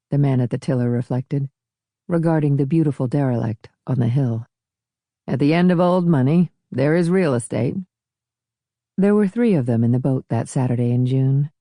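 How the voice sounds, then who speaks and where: Mellow woman narrator, dry studio sound. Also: a bass voice, no echo. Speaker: audiobook narrator. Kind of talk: scripted literary narration.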